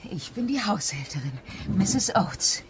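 A gossipy housekeeper of a lonely country house, confiding and dramatic. Her pitch swings widely as she tells her tales in a mystery radio play, with faint noise behind her. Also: very animated, measured pace.

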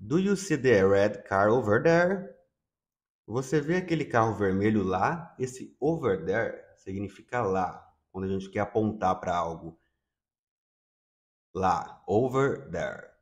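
A man from Brazil with a Brazilian accent, teaching English by repeating phrases slowly and translating them. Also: lowish voice, animated. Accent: Brazilian Portuguese. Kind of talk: slow bilingual lesson, repeating phrases.

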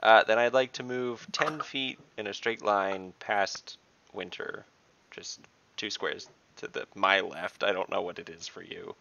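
A man's voice: lowish voice, fairly even intonation, unhurried, gravelly, pushed and bright, slight background noise. A young man playing a tabletop game over a video call, talking through his moves step by step. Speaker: player. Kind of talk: casual tabletop game talk. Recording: dull lo-fi call audio.

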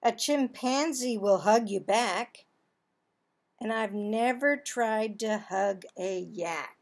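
A woman in her sixties reads a children's storybook aloud. Her voice sits a little high and she takes her time, very slowly. She phrases expressively, drawing out words and leaving pauses. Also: gravelly. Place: small home office, indoors.

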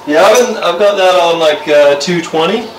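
A young man ambles through a home cooking demo, full of "uh"s and easy asides. His voice is picked up from a camera across the kitchen, so it sounds roomy and a little distant. Soft clatter of pans sits underneath. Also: high-pitched, moderate pace, a little hiss or hum. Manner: relaxed, rambling, lots of fillers. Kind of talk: casual cooking demonstration. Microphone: camera mic from across the room.

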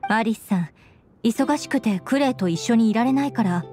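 A woman plays a young female knight leader in an anime game. Her voice is slightly high, measured and sincere, with formal phrasing. Music plays clearly behind her. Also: animated, dark tone, close to an everyday voice. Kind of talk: Japanese anime game voice acting.

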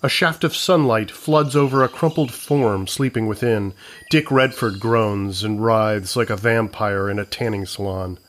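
A man's voice: mid-range voice, a bit bright. A man reading scripted narration for an audio comedy, describing scenes as the narrator.

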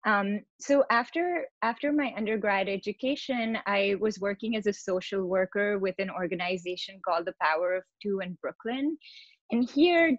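A young woman from Pakistan, an artist, talks through her prints on a video call in a relaxed, explanatory way with many ums. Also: medium pitch, no echo. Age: young adult. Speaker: exhibiting artist. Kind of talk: online artist talk with slides. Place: room at home. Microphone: laptop video call. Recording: dull video-call audio.

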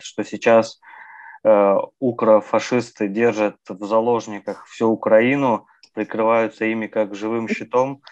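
A man's voice: fairly low pitch, no echo. Thirties man interviewer, dry muffled call audio, hesitant questions. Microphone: earbud mic on video call.

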